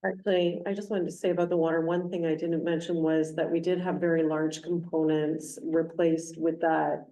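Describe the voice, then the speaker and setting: A woman mayor leads a local council meeting and explains costs in plain, everyday terms. Her voice is lowish and mellow. She sounds distant, caught by a room mic in an echoey chamber. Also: little pitch movement, moderate pace, few pauses. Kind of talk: chairing a public meeting.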